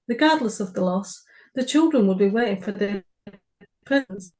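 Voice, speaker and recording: A woman's voice: mid-range voice, a little mellow, low-quality recording. A woman co-hosting a writers' readaround, reading her own short fiction and chatting informally. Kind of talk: story reading and informal chat.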